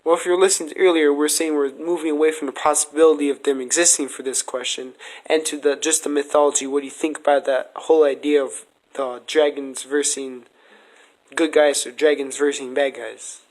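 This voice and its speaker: A man's voice: fairly high pitch, fairly even intonation, a little fast, rough recording. A man hosting a podcast, asking questions in a casual interview style.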